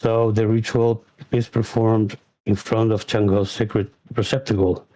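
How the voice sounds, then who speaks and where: Slow, hesitant Chilean-born man. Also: on the low side, flat delivery, dark tone, low-quality recording. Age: 40s. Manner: halting, hesitant phrasing. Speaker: caller. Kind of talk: call-in storytelling, partly read aloud.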